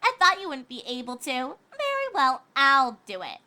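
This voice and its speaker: Haughty, shrill little filly pony. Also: female voice, wildly swooping pitch, normal speed, bright, forceful tone, exaggerated voice. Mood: bossy. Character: haughty, bossy, sneering rich filly pony.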